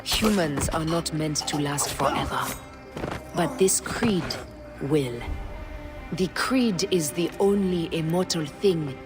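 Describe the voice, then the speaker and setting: An actress playing a grim, resolute woman assassin in a game cutscene, speaking in a cold, low, often whispered manner. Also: slow pace, over music. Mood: solemn.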